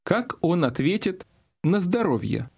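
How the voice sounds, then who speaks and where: Male instructor, husky voice, lively intonation, scripted language-course prompts. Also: sits high, measured pace. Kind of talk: scripted audio lesson narration with prompts.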